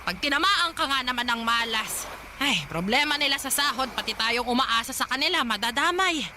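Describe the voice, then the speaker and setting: A woman playing a weary, caring old grandmother in a Filipino radio drama, with a sighing, plaintive, halting delivery. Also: sits high, expressive, noisy background. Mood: weary, troubled. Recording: music bed behind the voice.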